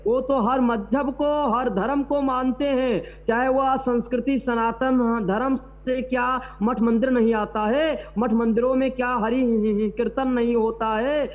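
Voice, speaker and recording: A man's voice: very high voice, fairly even intonation. Hesitant man on muffled audio. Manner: measured, hesitant, repeats "dekhiye". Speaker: religious leader, debate panelist. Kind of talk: debate commentary.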